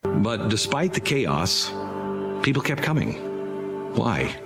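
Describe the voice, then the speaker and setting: A man's voice: mid-range voice, sing-song, slow. A man reading a scripted, dramatic historical documentary narration. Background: prominent music.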